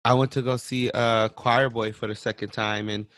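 A man chats as a podcast co-host, recorded close and very dry. His delivery is informal, playful and expressive, with a medium-pitched voice. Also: moderate pace, no room sound. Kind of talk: casual podcast chat.

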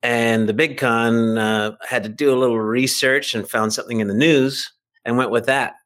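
A man's voice: mid-range voice, steady tone, normal speed, a bit bright. A middle-aged or older man chatting as a podcast guest, telling anecdotes in an informal, self-deprecating way. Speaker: podcast guest, fiction writer. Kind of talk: casual anecdotal chat.

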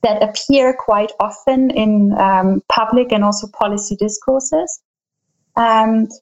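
A woman researcher answering a panel question, thoughtful and hesitant, with many pauses and fillers. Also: mid-range voice, rough recording. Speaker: panel guest, field researcher.

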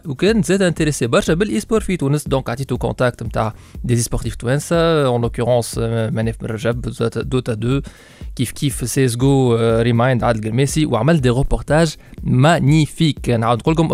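A man's voice: mid-range voice, darkish tone. A man with a Tunisian accent hosting a radio gaming show, interviewing a guest in casual, chatty talk. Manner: rapid code-switching, chatty.

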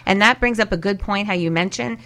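A woman's voice: mid-range voice, fairly quick, fairly bright tone. A woman giving casual advice as an expert guest in a panel discussion, chatty and fond of acting out little quotes. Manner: chatty, illustrates points with acted-out quotes.

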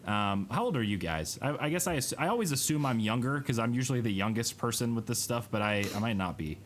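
A man's voice: mid-range voice, expressive, quick pace, a little hiss or hum. A man of thirty, co-hosting a podcast in casual chat and telling a rambling story that keeps correcting itself. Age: 30s. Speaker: podcast co-host.

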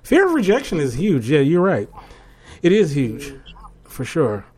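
A man's voice: on the high side, huge pitch swings, unhurried, darkish tone, breathy edge. A man on a discussion panel cutting in with teasing, jokey remarks. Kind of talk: casual banter.

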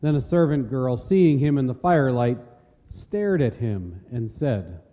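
A man narrating scripture in a measured, solemn way as part of a dramatic reading. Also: mid-range voice, animated, warm tone. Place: large reverberant church. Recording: long church hall reverb.

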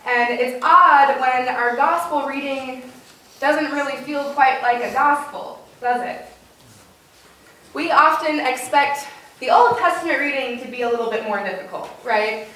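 Lady pastor, high voice, encouraging and simple, super echoey and far from the mic. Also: a bit bright. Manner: encouraging, simple questions and repeating answers back. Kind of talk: children's message and sermon to a congregation. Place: indoors, large reverberant church. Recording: very echoey church, distant mic, faint noise.

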